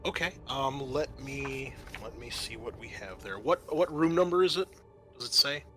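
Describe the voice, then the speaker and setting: A man working as game master, improvising narration for a tabletop roleplaying game in a halting, thinking-aloud way. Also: medium pitch, bright, forceful tone.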